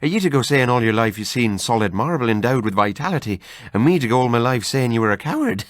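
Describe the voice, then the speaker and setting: A big, level-headed Irish doctor with a booming, teasing manner, as a male narrator puts him on. He speaks noticeably higher than the reader's own low voice, in a dry and close recording. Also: expressive, fast talker, no echo, lightly acted. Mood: scolding. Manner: jovial, teasing, booming laughter.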